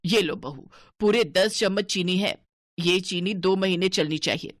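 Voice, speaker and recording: A woman's voice: lowish voice, unhurried, no echo, close to an everyday voice. A woman voicing a stingy, scolding older mother-in-law in a cartoon story. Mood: bossy. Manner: bossy, penny-pinching, sharp-tongued.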